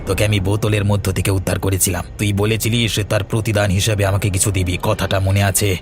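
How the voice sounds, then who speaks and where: A man's voice: lowish voice, level tone, fast talker, music in the background, lightly acted. A man playing a sinister, curt villager who gives commands, in a horror cartoon. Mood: scheming, commanding.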